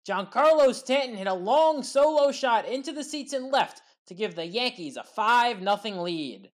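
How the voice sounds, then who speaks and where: A woman delivering scripted sports highlight commentary in recap style, punching up the big plays.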